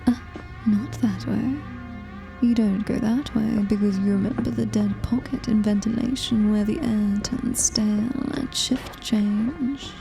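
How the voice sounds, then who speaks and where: A woman with a British accent voicing a possessive, menacing female alien-human hybrid in ASMR horror roleplay, breathy, deliberate and commanding, often whispering. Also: mid-range voice, fairly even intonation, warm tone, faint music behind, rough recording. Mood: menacing pride.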